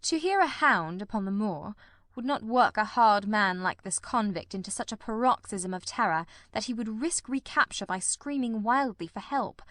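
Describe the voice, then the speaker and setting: A performer voicing a shrewd, grimly determined male detective in a radio mystery, speaking formally and deliberately. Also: high voice, expressive, a bit bright, no room sound, studio quality. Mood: analytical. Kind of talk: old-time radio mystery drama.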